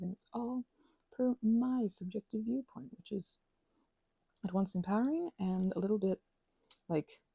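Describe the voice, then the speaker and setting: A woman rambles casually at her craft table, losing her thread and restarting often. Her voice is husky and gravelly with a mellow, dark tone. The close-miked recording sounds dry. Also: mid-range voice, dry recording, rough recording. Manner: rambling, chatty, many fillers and restarts. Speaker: ASMR and audio creator.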